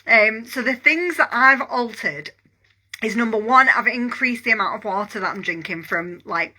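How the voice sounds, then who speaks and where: A woman in her forties, stuffy with a cold and sore throat, enthusiastically pitching a skincare product in a casual vlog. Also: sharp tone, low-quality recording. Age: 40s. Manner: chatty, enthusiastic, rambling with fillers. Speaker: online business coach.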